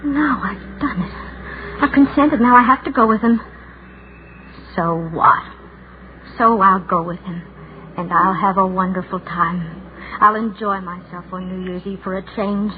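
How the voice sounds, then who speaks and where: An actress playing the inner voice of an anxious, lonely spinster, fretful and wavering, in 1940s radio style. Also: female voice, medium pitch, steady tone, measured pace, narrow, tinny audio, lightly acted. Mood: defiant, wavering. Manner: fretful, self-arguing, wavering. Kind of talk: 1940s radio acting, interior monologue. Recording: noisy, thin 1940s radio recording.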